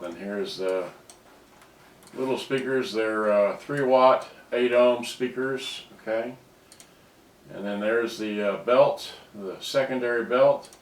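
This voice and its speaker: A man giving a casual hands-on product demonstration, rambling and often trailing off mid-sentence, picked up by a distant microphone. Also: lowish voice. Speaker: cassette player restorer presenting his own items. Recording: roomy, distant microphone with background noise.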